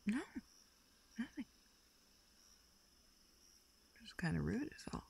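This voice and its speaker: A woman acting a self-deprecating, dryly sarcastic young woman confiding haltingly in a close friend, in an intimate, naturalistic audio roleplay. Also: mid-range voice, creaky, husky, full character voice. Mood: dry, wry. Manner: hesitant, halting, deadpan joking.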